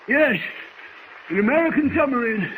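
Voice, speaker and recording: An actor playing a folksy, halting rural caretaker in a 1940s radio drama. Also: male voice, extremely high pitch, expressive, a crowd in the background. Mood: anxious, recounting. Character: plain rural property caretaker, hesitant and suspicious. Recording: tinny, faintly noisy 1940s radio recording.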